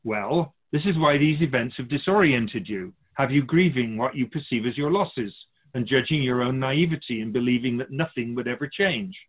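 A man playing an otherworldly, wise spiritual guide in a radio drama, measured and instructive with gentle amusement. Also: mid-range voice, natural voice. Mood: wise, gently encouraging. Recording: slightly muffled, phone-like narrow sound.

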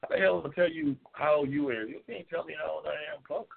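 Gravelly 72-year-old man, lo-fi. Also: a little high, quick pace. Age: 70s. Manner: loud, joking, trash-talking, rambling. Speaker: host. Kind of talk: casual live-stream talk reading chat comments. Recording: tinny phone-like lo-fi sound.

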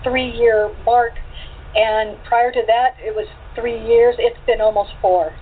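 A woman's voice: fairly high pitch, fairly even intonation, takes it slowly, husky. A woman taking part in a casual, reminiscing group chat as a cast member. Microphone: internet call. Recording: tinny phone-like line, faint noise.

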